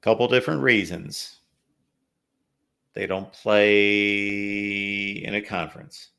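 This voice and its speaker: A man hosting a live call-in sports show, a little raspy from no sleep, chatting through viewer comments and game analysis. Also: lowish voice, flat delivery, takes it slowly, pushed and bright. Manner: reads viewer comments aloud, conversational analysis. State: slightly raspy from lack of sleep. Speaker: host.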